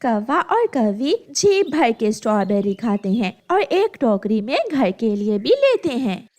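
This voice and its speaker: A woman's voice: a little high, sing-song, measured pace, a little mellow. A woman reading scripted narration for a children's story.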